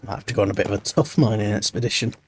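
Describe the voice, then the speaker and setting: A man's voice: lowish voice, rapid-fire, background noise. A man chatting casually while he plays a building game, thinking aloud and rambling. He sounds tired and stuffy-nosed, with a cold. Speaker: streamer. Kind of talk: casual gameplay commentary. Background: background music.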